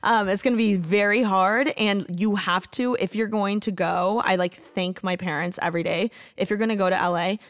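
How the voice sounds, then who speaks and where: A woman in her twenties from Indiana, talking casually as a podcast guest, filling her speech with "like" and quick restarts. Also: medium pitch, steady tone. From Indiana, USA. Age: 20s. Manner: chatty, says "like" constantly, quick restarts. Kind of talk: casual conversation.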